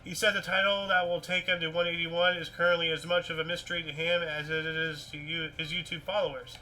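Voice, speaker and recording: Bright, high-pitched man hosting offbeat news chat. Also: fairly quick, a little hiss or hum, rough recording. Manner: rambling, repetitive, animated. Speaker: host of a weird-news talk show. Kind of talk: casual news chat mixed with reading articles aloud.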